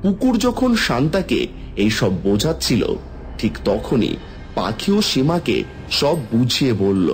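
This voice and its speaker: A man's voice: mid-range voice, sing-song, a little slow. A man reading scripted fairy tale narration for a cartoon. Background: background music.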